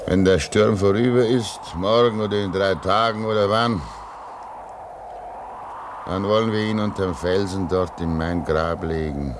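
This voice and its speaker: A man's voice: sits low, fairly even intonation, a little slow. A man playing a devout, solemn old man stranded at a remote Arctic station in an old radio drama. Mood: grieving. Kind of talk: 1950s German radio drama acting. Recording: old radio recording with noise.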